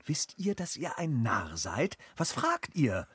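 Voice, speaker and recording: An actor playing a scrupulous, formally spoken gentleman traveller in a classical German comedy for radio, hesitant and deliberating. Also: a little high, huge pitch swings, slow, slightly rough, no room sound, full character voice. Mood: indignant. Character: cautious, scrupulous gentleman traveller of noble manners.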